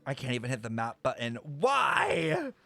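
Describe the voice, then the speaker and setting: A man's voice: mid-range voice, normal speed, vocal fry, dry recording. A man giving casual, exclamatory reaction commentary as a game streamer. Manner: expressive, exclamatory. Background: game music.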